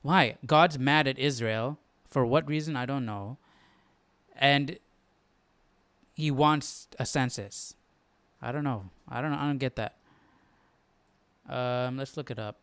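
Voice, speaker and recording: A man hosting a live stream, speaking informally as he works things out aloud. His medium-pitched voice is clean and smooth, with a dry, echo-free sound. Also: takes it slowly, pauses often, a bit bright. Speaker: live stream host of a Bible study. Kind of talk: casual spontaneous chat.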